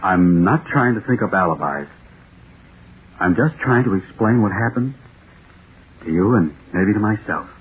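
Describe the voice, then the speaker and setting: A man's voice: lowish voice, animated, a little slow, pauses often. An actor playing a distraught accused man in an old radio drama, pleading intensely that a monster is real, on a muffled, noisy recording. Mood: haunted, earnest. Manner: pleading, intense, rising into hysteria.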